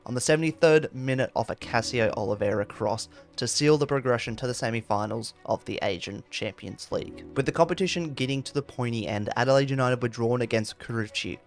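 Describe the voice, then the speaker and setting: A man reading scripted narration for a football history video. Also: medium pitch.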